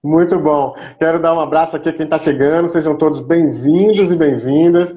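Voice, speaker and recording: A man's voice: a little high, fairly quick, mellow. A man with a Brazilian Portuguese accent hosting a live stream in a casual, chatty, welcoming way. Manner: informal and welcoming, greets viewers by name. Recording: dull lo-fi online stream audio.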